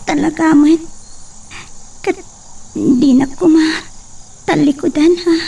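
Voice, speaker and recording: A woman playing a shy young student in love, who speaks hesitantly and softly. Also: high-pitched, fairly even intonation, slow pace, creaky, recorded from a distance, heavily acted. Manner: hesitant, soft-spoken. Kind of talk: melodrama acting.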